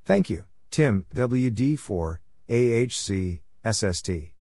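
A man with a soft, dark tone reads practice material for radio operators. His delivery is very slow and measured, and the pitch sits on the low side. Letters, numbers and short phrases come out one clipped piece at a time. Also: expressive, slight background noise. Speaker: narrator of Morse code practice drills. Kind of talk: spoken readback of call signs and short radio exchanges, letter by letter.

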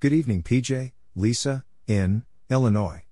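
A man's voice: mid-range voice, moderate pace, mellow, no echo, studio quality. A man reading out call signs and short exchanges for Morse code practice, spelling them letter by letter in brief phrases.

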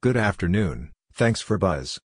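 A man reads short scripted amateur radio phrases as a drill narrator, in a clipped, deliberate, phrase-by-phrase delivery. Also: low voice, big pitch swings, heavy background noise. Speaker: narrator of a Morse code practice drill.

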